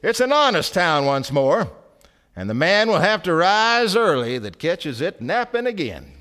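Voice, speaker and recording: An actor playing a wry storyteller, narrating a radio drama on stage with theatrical emphasis and measured pauses. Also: male voice, high voice, sing-song. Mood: solemn, wry. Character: wry, dramatic storyteller of a small-town tale. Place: theatre stage before a live audience.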